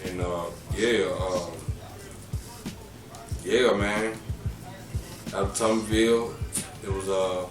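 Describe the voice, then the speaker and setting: A man in his thirties with a deep voice and an Atlanta accent, a podcast guest talking in a rambling, joking, profane way. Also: steady tone, takes it slowly, pauses often. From Atlanta, Georgia. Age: 30s. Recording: echoey room, distant mic, music behind.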